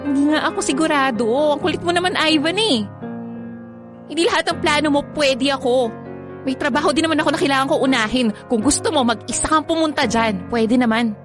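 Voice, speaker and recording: A woman's voice: sits high, fairly quick, lightly acted. A woman with a Filipino accent playing an evasive, weary girlfriend in an online radio drama, reluctant and defensive. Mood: reluctant, irritated. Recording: music bed under the voice.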